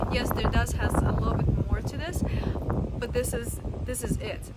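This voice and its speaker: Russian-accented woman talking earnestly over noise. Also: mid-range voice. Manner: earnest, halting, many fillers and restarts. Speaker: vlogger. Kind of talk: casual talk to camera.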